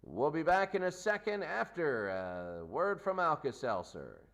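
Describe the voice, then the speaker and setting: A man with a slightly high-pitched voice hosts a football pregame show. He talks off the cuff, repeating phrases for emphasis as he previews the action. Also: very animated, a little slow, background noise. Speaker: sports show host. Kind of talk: unscripted football pregame commentary.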